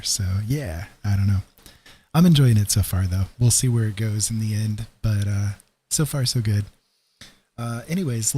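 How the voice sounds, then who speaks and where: A man's voice: fairly low pitch, warm tone, husky. A man hosting a livestream with casual, hesitant, rambling chat. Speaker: livestream host.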